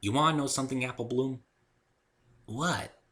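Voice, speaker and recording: A man acts out a young country filly from a pony story. The voice is lifted and bright, sweet and earnest, with a hesitant, folksy way of talking. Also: mid-range voice, normal speed, pitched above the performer's own voice, lightly acted. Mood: hopeful.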